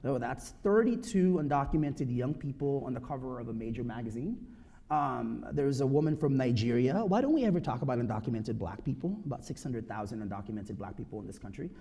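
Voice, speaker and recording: Husky earnest man from the Philippines. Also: medium pitch, animated, fairly quick, dark tone. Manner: earnest, emphatic, rhetorical "right?" asides. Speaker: speaker giving a talk. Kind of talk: personal talk to an audience.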